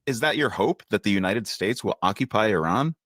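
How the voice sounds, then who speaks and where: A man's voice: fairly low pitch, animated, fairly quick, a bit bright, no echo, studio quality. A man conducting an interview, probing and rephrasing the guest's answers, often stumbling over his words. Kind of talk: interview questions.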